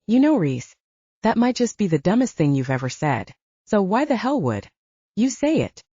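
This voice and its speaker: A woman's voice: mid-range voice, big pitch swings, moderate pace, warm tone, dry recording, highs cut off. A woman voicing a commanding, level-headed male werewolf pack leader with a probing manner in an audiobook. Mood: stern, probing. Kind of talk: audiobook character dialogue.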